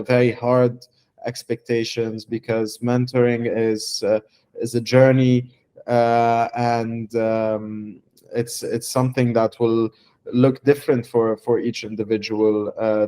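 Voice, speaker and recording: A young man from Lebanon, a startup founder giving a testimonial interview, speaking haltingly with many fillers and restarts. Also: fairly low pitch, warm tone, clean tone.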